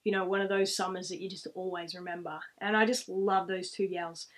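A woman's voice: lowish voice, flat delivery, fairly quick. A woman in her thirties with an Australian accent, telling stories casually to camera in a rambling, reflective way. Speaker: vlogger. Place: small room at home, indoors, seated.

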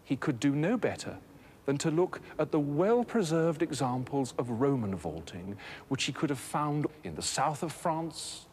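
A man in his thirties presenting a scripted educational documentary in a measured, articulate, expository manner. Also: a little high, very animated, a little mellow. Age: 30s. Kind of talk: scripted educational narration on architecture. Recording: noisy old documentary film soundtrack.

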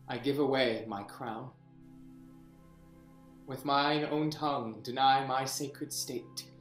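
An actor playing a deposed young king, bitter and theatrical, in a play reading over a video call, swinging from hushed whispers to anguished shouts. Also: medium pitch, echoey room, lightly acted. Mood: sorrowful. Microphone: webcam on a video call.

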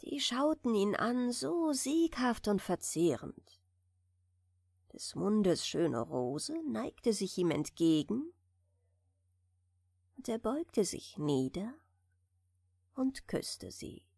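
A woman narrating a fairy tale for bedtime, reading gently and soothingly, at times almost whispering. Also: mid-range voice, very animated, very slow, vocal fry, long pauses, husky, dry recording, clean studio sound. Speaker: audiobook narrator.

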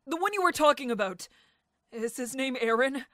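A woman's voice: high-pitched, lively intonation, moderate pace, dry recording, clean studio sound. A woman playing a timid, polite young boy in an audio drama, stammering and hesitant. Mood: pleading. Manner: stammering, hesitant, soft-spoken.

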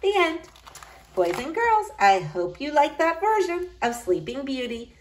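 A woman reads a children's storybook aloud with a fairly high voice. Her pitch moves in lively, expressive swings, pitched for an audience of young children. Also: a little slow, a little hiss or hum. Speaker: school librarian reading to children.

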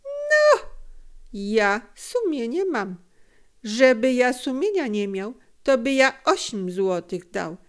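A woman's voice: on the high side, very animated, slow pace, voice pushed up. A woman voicing a phlegmatic, self-righteous male junk dealer haggling in an audiobook story, in sing-song phrases.

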